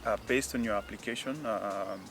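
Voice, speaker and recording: A man explaining a technical process outdoors in a hesitant, measured way, with many fillers. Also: fairly low pitch, vocal fry, fairly bright tone. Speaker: guest expert. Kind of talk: casual explanatory interview. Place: outdoors, windy.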